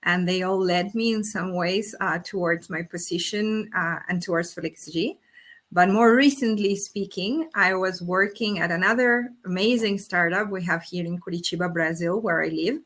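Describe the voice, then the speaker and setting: Bright-voiced woman, Spanish native speaker. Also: on the low side, moderate pace. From Argentina. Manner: earnest, with frequent fillers and restarts. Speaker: interview guest, company executive. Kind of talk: conversational interview answers. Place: Brazil.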